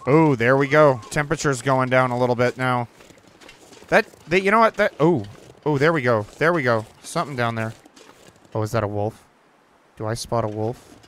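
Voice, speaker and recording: A young man giving casual, chatty commentary over a survival game, reacting out loud and swearing now and then. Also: mid-range voice, normal speed, slight background noise. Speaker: gaming channel host. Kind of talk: casual let's-play commentary. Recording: faint game ambience behind.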